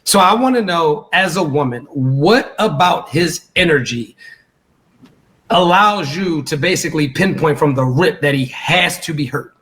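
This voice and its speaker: A man's voice: fairly high pitch, animated, a little slow, a bit bright. A man hosting a live podcast debate, moderating in a laid-back, casual way with lots of fillers. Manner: laid-back, filler-heavy, asks "right?" often.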